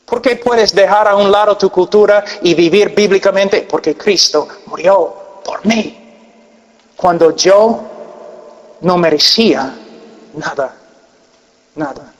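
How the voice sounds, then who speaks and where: A man with an American accent preaches in a large church hall, his voice ringing with long reverb. He talks slowly and deliberately, his fairly high voice pushing to emphatic peaks, then dropping to hushed, intense near-whispers. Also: dull audio. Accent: American, with Peruvian Spanish learned late. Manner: earnest, emphatic, long pauses, drops to quiet intensity. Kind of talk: sermon.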